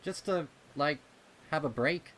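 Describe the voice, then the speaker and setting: A man's voice: a little high, slow pace, fairly bright tone, a little hiss or hum, voice pushed up, low-quality recording. A man voicing a nervous, touchy young colt pony in a storybook reading. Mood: nervous, pleading. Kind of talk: storybook character dialogue.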